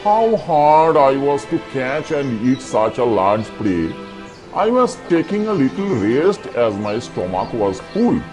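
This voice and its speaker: A man's voice: mid-range voice, very animated, slow, rough recording. A man voicing a greedy, easily outraged tiger uncle in a children's cartoon, theatrical and blustering. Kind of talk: children's cartoon character dialogue. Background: music behind the voice.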